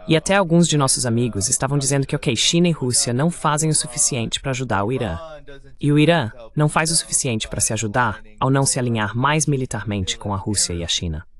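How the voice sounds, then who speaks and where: Guy hosting a politics show, medium pitch, explains stuff in a measured way, dubbed voice. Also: fairly bright tone. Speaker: interview host. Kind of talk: political commentary, dubbed voice-over.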